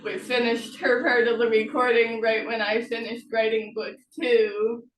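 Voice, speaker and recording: Slow, halting woman in an echoey room. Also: fairly high pitch, flat delivery, crowd noise. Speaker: host, author of historical novels. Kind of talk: casual chat about writing. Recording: roomy echo, distant from the mic.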